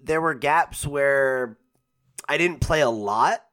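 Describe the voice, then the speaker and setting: A man's voice: medium pitch, slow pace, a bit bright. A man in his twenties co-hosting a gaming podcast, chatting casually and rambling with lots of fillers and restarts. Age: 20s. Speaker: podcast co-host. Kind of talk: casual chat.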